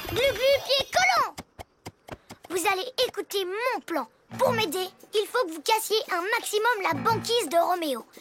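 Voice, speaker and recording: An actor voicing a determined six-year-old boy superhero in a dubbed children's cartoon, earnest, eager and plucky. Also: very high-pitched. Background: loud music.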